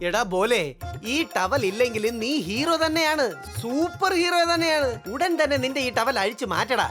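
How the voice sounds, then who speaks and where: A man's voice: extremely high pitch, lively intonation, normal speed, a bit bright. A man voicing a bossy, encouraging guru who commands and scolds his disciple in a cartoon. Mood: scolding. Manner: commanding, exclamatory. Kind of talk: cartoon dubbing. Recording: music behind the voice.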